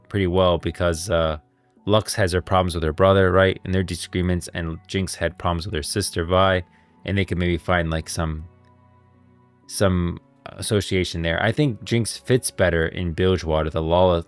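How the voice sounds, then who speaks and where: A man's voice: low-pitched, dry recording. A man streaming casual commentary, rambling and thinking aloud as he goes. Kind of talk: casual game lore commentary. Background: background music.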